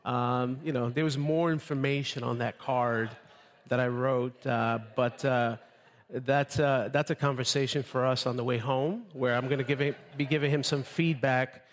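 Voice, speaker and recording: A man in his late forties from Honduras, a visiting preacher giving an informal, conversational sermon, halting at times and repeating phrases for emphasis. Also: mid-range voice, fairly even intonation. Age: late 40s. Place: large church room, preaching to congregation. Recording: echoey hall, long reverb.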